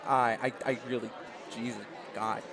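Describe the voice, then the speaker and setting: Gravelly man reading a visual novel. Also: mid-range voice, normal speed, a crowd in the background. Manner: dramatic reading, excitable and gushing in commentary. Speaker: let's play host.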